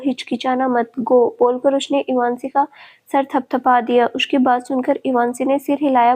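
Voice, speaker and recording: High, even-voiced woman storyteller. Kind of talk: scripted story narration.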